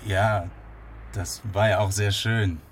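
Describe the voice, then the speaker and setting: A pompous companion who styles himself a legal representative. His voice is fairly low, his wording stilted and formal as he tries to soothe. Faint traffic noise sits behind this radio drama scene. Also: fairly even intonation, slow. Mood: placating. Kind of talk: naturalistic German radio drama acting.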